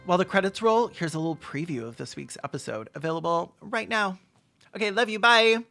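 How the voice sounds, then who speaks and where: A video essay host doing sarcastic comedic commentary with mock-serious, deadpan jokes. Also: medium pitch, fairly quick, gravelly, faint music behind.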